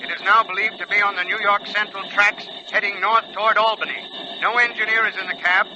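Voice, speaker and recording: Casting an official trainman for urgent bulletins male actor, even monotone, 1940s radio, muffled noisy sound. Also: sits high, brisk, natural voice. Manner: formal bulletin delivery. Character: urgent, businesslike male railway trainman reading an alert.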